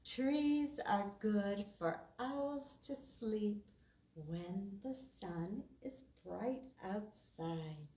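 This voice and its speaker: Slow-talking woman leading children's storytime, echoey room. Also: medium pitch. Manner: expressive, simple talk addressed to small children. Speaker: preschool storytime host at a library. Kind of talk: children's storytime, reciting rhymes and reading a picture book aloud. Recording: roomy echo, distant mic.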